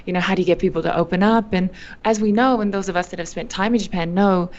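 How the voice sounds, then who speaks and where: A young woman from Australia answering interview questions, animated, with frequent fillers and restarts. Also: lowish voice, fast, dull audio. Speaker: guest, company founder. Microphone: video call.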